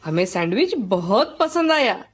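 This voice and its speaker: Female narrator as innocent storybook village child, eager, animated intonation, light music behind. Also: mid-range voice, measured pace, voice pushed down. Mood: eager, delighted. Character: eager, delighted village children asking for snacks. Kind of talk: moral storybook character dialogue.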